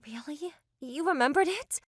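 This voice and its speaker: Woman as a high, sweet young girlfriend. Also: expressive, moderate pace, a bit bright, no echo. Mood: shy, affectionate. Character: sweet, devoted young woman, retired YouTuber in love. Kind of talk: manga dub dialogue.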